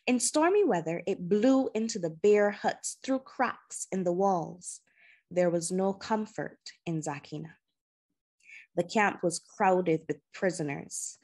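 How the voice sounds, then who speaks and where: A woman narrating a children's novel in audiobook style, with measured, deliberate phrasing and pauses. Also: lowish voice, a little mellow.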